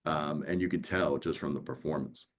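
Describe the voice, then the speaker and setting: A man's voice: very deep voice, normal speed. A man from New York, an audiobook producer, chatting casually and telling stories by acting out bits of dialogue. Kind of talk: casual panel conversation.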